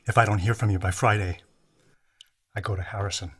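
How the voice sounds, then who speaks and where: An actor playing a resentful security officer turned blackmailer in an audio drama, petulant, menacing and volatile. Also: male voice, lowish voice, steady tone, fairly quick, very noisy, close to an everyday voice. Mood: petulant menace.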